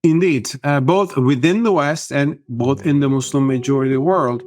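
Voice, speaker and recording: A middle-aged man from Turkey, a guest author, answering interview questions in a measured, thoughtful way. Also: mid-range voice, a little mellow.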